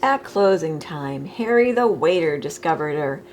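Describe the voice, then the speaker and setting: A woman reading a children's picture book aloud expressively, with deliberate phrasing, as a storytime reader. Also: deep voice, lively intonation, a little mellow, a little hiss or hum.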